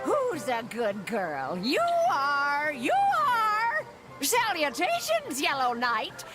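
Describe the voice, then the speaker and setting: A woman's voice: sits high, huge pitch swings, takes it slowly, loud music behind the voice, full character voice. A woman voicing a vain, cranky old forest witch in a cartoon game, theatrical and curt. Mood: doting, theatrical. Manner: theatrical, curt, dismissive, mock-archaic. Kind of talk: animated video game voice acting.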